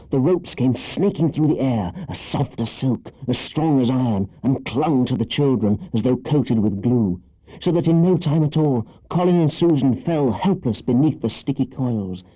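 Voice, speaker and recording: Dark, husky male storyteller. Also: mid-range voice, no echo, rough recording. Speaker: audiobook narrator. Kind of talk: dramatic scripted children's story narration.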